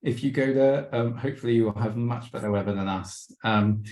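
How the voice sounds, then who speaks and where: A man's voice: medium pitch, a little fast, darkish tone, echoey room. A man giving a slideshow travel talk on a video call, hesitant with many ums and restarts, and losing his voice. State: losing his voice, clearing throat. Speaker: webinar presenter.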